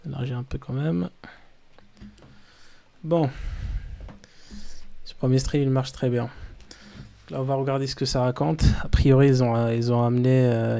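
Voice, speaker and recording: A man streaming live in French, which is not his first language, chatting loosely and haltingly while he sets up. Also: medium pitch, vocal fry, mellow. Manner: halting, loose, talks to himself while setting up. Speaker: streamer reacting to videos. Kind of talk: casual live-stream chat.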